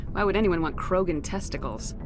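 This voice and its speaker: A woman voicing a calm military commander. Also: on the low side, natural voice. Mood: curious. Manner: questioning, calm, measured. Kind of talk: video game dialogue. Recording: game score behind. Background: game music.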